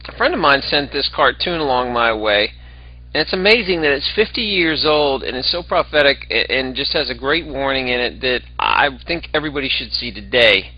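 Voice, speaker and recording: A man's voice: medium pitch, animated, brisk. Informal male presenter on muffled audio. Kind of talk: spoken introduction to a shared clip.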